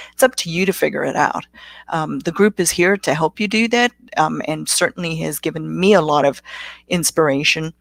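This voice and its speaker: A woman's voice: on the low side, vocal fry, dry recording. Motivational middle-aged woman guest. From South Africa. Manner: motivational, reflective. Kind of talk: casual interview answers.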